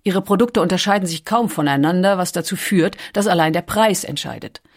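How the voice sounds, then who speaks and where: A woman's voice: fairly low pitch, a little fast. A woman reads scripted documentary narration as an audiobook narrator.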